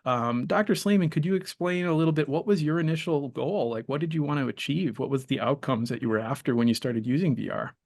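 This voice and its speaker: A man's voice: fairly high pitch, fast talker, few pauses, darkish tone, no echo. Man hosting a panel, dry close sound. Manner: rambling, hedging questions. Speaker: panel host. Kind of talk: panel discussion.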